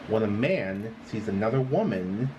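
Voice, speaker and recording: A man's voice: lowish voice, animated, normal speed, lo-fi sound, noisy background. A man commentator explaining in a lecturing, matter-of-fact way.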